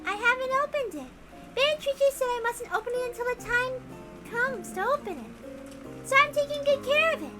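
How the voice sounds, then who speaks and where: A woman's voice: very high voice, animated, moderate pace, loud music behind the voice, pitched above the performer's own voice. A woman voicing an eerie, childish little girl who whines and giggles creepily, in a visual novel read aloud. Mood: childish, proud.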